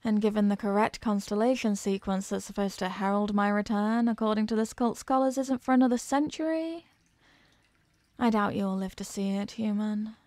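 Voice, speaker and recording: A woman playing an ancient captive eldritch goddess in a horror ASMR roleplay, haughty, hungry and menacing, speaking in an imperious, condescending and deliberate way. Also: mid-range voice, a little mellow, no echo, lightly acted. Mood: resigned, dry. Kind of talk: slow horror audio roleplay monologue, ASMR.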